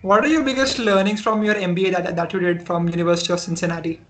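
A podcast host asking interview questions in a casual, halting way, with many fillers. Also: medium pitch, steady tone.

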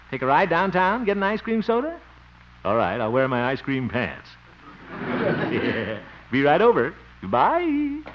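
An actor playing a blustery, indulgent middle-aged American man in a 1950s radio comedy. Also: medium pitch. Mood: jovial. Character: blustery, indulgent, easily flustered grown man living with his mother. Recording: noisy, muffled old radio recording.

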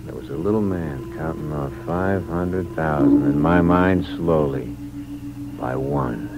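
A man's voice: a bass voice, measured pace, loud music behind the voice. A man acting a cynical, hard-boiled American drifter who narrates his crime in terse, wry 1940s radio noir style. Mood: brooding. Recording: muffled, noisy old radio recording.

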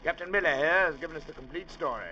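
Pompous judge, very sharp voice. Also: male voice, a little high, very fast. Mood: effusive, flattering. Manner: pompous, oratorical. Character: pompous, effusive, flattering male town judge. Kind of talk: 1950s radio drama acting. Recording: muffled, lightly noisy old radio recording.

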